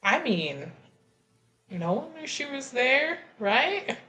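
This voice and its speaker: A woman's voice: high voice, very animated, slow, pushed and bright. A woman playing a tabletop roleplaying game, casually and hesitantly thinking her way through the story.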